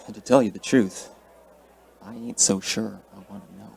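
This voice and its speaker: An actor playing a steady, folksy, plain-spoken small-town sheriff in a radio drama. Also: male voice, fairly low pitch, moderate pace, vocal fry, poor audio. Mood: pondering, authoritative.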